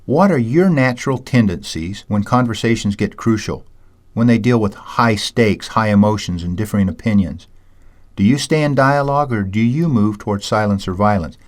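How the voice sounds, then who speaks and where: A man reading a scripted lesson in a measured, storytelling way, teaching communication skills. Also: on the low side, darkish tone. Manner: measured, anecdotal, self-described ham. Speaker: audiobook narrator and seminar teacher. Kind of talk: scripted audiobook narration.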